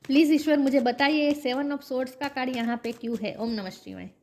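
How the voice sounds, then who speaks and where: A woman's voice: high-pitched, fairly even intonation, darkish tone. A woman with a sore, coughing throat, giving a tarot reading to her viewers and chanting between card draws. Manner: chants a mantra between card draws, slow repeated questions. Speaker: tarot reader.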